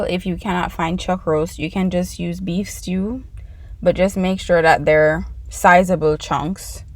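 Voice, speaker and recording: Jamaican woman with a gravelly low voice. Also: no room sound. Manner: chatty, explains each step to "you guys". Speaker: cooking channel host. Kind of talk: casual cooking tutorial. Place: home kitchen.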